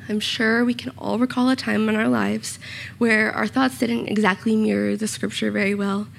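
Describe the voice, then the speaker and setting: A young woman giving a short church sermon with a slightly raspy voice, earnest and personal, with small self-conscious asides. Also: mid-range voice, flat delivery, normal speed, slight background noise. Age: young adult. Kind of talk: sermon with scripture reading and prayer. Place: church room.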